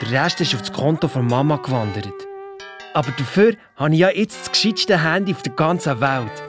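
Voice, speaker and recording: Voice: mid-range voice, animated, moderate pace, over music, natural voice. A performer playing a cheeky, boastful boy detective with a Swiss German accent, chipping in colloquially in a children's audio drama. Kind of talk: first-person storytelling in a children's audio drama.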